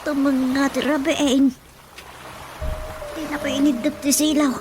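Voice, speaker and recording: A woman's voice: high-pitched, level tone, measured pace. A woman with an Ilocano accent acting as a village wife and mother in a radio drama. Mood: sleepy, questioning. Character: rural mother, wife waking at night, questioning. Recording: music playing behind the voice.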